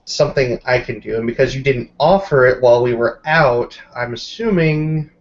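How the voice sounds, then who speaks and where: A man's voice: medium pitch, moderate pace, close to an everyday voice. Frank, skeptical werewolf woman played by a man. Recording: dull lo-fi audio.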